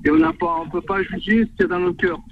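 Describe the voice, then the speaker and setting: A man's voice: sits high, big pitch swings, quick pace. A man, calling in to a radio show from his car, hesitant and reflective with many fillers. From Provence, France. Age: 50s. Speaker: caller. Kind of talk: radio call-in confession. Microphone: phone. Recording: tinny phone line with faint noise.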